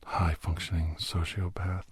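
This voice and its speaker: Man playing a hushed, husky, haunted old-soldier doctor. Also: sits low, little pitch movement, no echo, heavily acted. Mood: somber, ominous. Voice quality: breathy, husky. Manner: slow, halting, hushed, often slipping into whisper. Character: grave, haunted male doctor, war veteran, recounting horrors. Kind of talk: ASMR horror audio drama narration.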